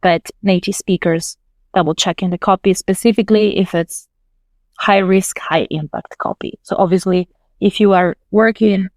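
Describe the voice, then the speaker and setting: A woman's voice: lowish voice, a little mellow, dry recording. A woman whose first language is Italian, a podcast guest explaining her work step by step in a casual, thoughtful way. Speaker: podcast guest, localization manager. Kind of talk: casual interview talk.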